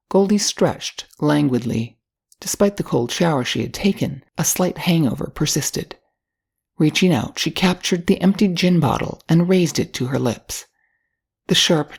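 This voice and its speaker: A woman's voice: low-pitched, creaky, a little mellow, husky. An older woman narrating a short story from a script as an audiobook reader.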